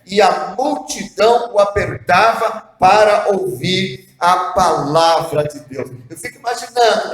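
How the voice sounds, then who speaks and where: A high-voiced man preaches slowly and deliberately, leaning on earnest rhetorical questions to his listeners. His voice rings out in an echoey church room. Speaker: pastor. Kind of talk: devotional sermon. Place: church hall.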